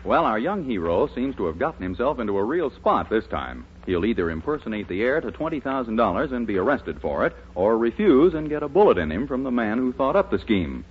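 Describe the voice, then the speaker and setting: A man's voice: mid-range voice, big pitch swings, brisk, hardly any pauses. A man announcing and narrating a scripted 1940s radio show. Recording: muffled, noisy 1940s radio recording.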